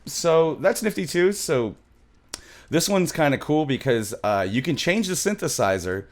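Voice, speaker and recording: A middle-aged man presenting a music software tutorial in a casual, loose, thinking-aloud way, recorded on a studio mic in a small home room. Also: fairly high pitch, sing-song, a little slow. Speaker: music production tutorial host.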